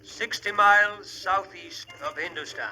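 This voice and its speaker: A man playing a formal, stiff travelogue narrator, measured and declamatory, in an old radio show. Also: high voice, fairly even intonation, bright, forceful tone, full character voice. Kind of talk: vintage documentary narration. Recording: old radio recording, faint music.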